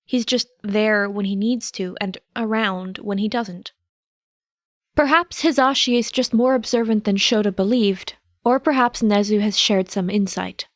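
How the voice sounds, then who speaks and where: A woman's voice: medium pitch, a little slow, dry recording. Woman narrating fiction, dry close sound. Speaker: podfic narrator. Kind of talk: scripted narration, reading fiction aloud.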